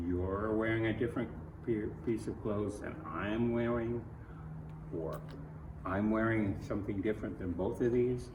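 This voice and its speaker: A man from Ohio with an American accent reads a picture book aloud to children, adding his own earnest, gentle comments in halting phrases. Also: lowish voice. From Ohio, United States. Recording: roomy echo, distant mic, faint noise.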